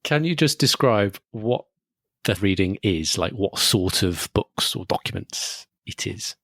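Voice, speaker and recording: Gravelly low-voiced man hosting. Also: expressive, unhurried, a bit bright, no echo, studio quality. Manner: encouraging, repeats words as he starts phrases. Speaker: podcast host and study coach. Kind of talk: podcast interview.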